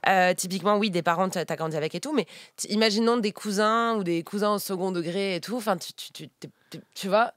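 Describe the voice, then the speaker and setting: A woman's voice: medium pitch, fast, no echo, studio quality. Hesitant woman thinking aloud. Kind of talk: casual group chat.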